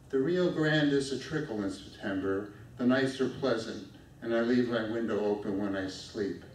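A middle-aged man with a low voice reads his poem in a steady, measured way. He stands at a stand mic on a small stage. The sound is caught from far off in an echoey room. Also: a little hiss or hum. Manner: steady, measured reading from paper at a lectern. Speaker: poet reading his own work. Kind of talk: poetry reading. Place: small dark stage with spotlights. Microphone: stand mic at a lectern, filmed from far off.

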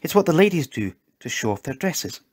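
A man's voice: fairly high pitch, big pitch swings, fairly quick, no room sound, voice pushed up. A man voicing a prim, confident little girl from a well-to-do family, matter-of-fact and showing off in a storybook. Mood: proud, explaining.

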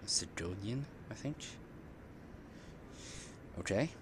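A man giving casual live commentary on a game playthrough, musing aloud haltingly. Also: fairly low pitch, animated, noisy background. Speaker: game streamer. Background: game music.

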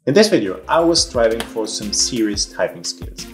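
A man's voice: on the low side, animated, moderate pace, faint music behind. Young German man vlogging at his desk. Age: young adult. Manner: earnest, mildly ironic asides. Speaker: vlogger and social auditor. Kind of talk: casual explanatory vlog. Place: home office room, indoors.

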